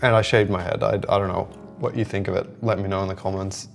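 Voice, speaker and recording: Low-pitched, creaky-voiced man vlogging casually. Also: fairly even intonation, fast, soft background music. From lives in southern Sweden. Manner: rambling, informal, hesitant phrasing. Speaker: host of a startup-building video diary. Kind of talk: casual vlog chat. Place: indoor room beside a window.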